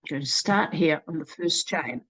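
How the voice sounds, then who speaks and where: A woman with a New Zealand accent presenting a literacy program in a slow, deliberate walkthrough, sounding out letters one at a time. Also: low-pitched, animated, fairly bright tone, slightly rough, poor audio. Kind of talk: screen-recorded software walkthrough.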